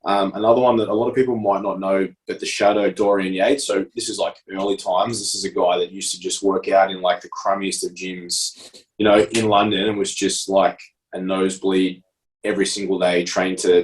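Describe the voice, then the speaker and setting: A man's voice: low-pitched, flat delivery, moderate pace, far from the mic, rough recording. A man in his thirties with an Australian accent, co-hosting a coaching podcast in casual, enthusiastic chat. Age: 30s. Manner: enthusiastic, explanatory, fillers like "like" and "obviously". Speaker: prep coach podcast co-host.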